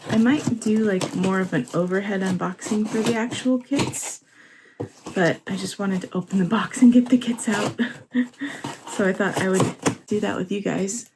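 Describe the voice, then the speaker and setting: A young woman vlogging casually at home, her voice a little hoarse and sore, excitable and laughing often. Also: mid-range voice, moderate pace, noisy background. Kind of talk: casual vlog chat. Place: home, indoors.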